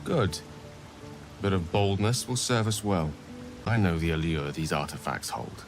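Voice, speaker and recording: Learned game wizard, low pitch, grave and polite, wordy eloquence, rain and music underneath. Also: male voice, lively intonation, moderate pace. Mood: earnest, persuasive. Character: courteous, learned male wizard with a grave secret. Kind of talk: video game dialogue acting.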